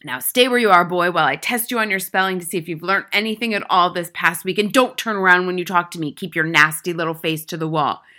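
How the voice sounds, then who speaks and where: A woman's voice: fairly low pitch, fast talker, few pauses, bright, forceful tone. A woman reading a storybook, voicing a gigantic tyrannical headmistress who barks contemptuous insults. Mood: furious command. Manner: barking, bellowing insults.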